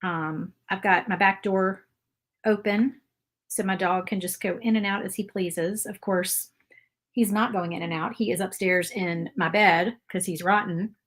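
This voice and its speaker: A woman with a mountain Appalachian accent talks in a loose, rambling way as she paints live for her viewers. Her voice sits at a middle pitch and keeps a chatty, friendly flow. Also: steady tone, a little slow. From Virginia, USA. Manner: chatty, rambling, reads viewer comments aloud. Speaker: craft livestream host. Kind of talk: casual live craft stream chat.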